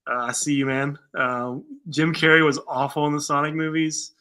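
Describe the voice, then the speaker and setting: A man's voice: on the high side, fairly even intonation, normal speed, sharp tone, smooth. A man co-hosting a casual fan talk show, speaking in an informal, conversational and noncommittal way. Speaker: co-host of a video game fan show. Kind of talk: casual conversational film discussion.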